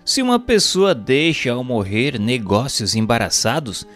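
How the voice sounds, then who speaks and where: A man's voice: mid-range voice, very animated, unhurried, big-room sound. A man narrating scripted book commentary in a formal, didactic manner. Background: faint music.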